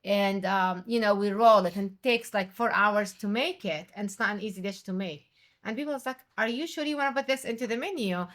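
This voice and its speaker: A middle-aged woman from Jordan, a restaurant owner and chef, speaking English as an in an animated, conversational interview. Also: mid-range voice, fairly quick. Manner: animated, quotes others with raised voice.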